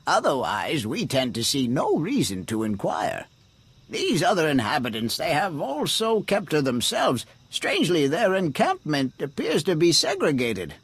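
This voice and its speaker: A man voicing a courteous bird-like alien villager in a video game, speaking in formal, deliberate phrases. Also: a little high, slightly rough, dry recording, full character voice. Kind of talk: video game character acting.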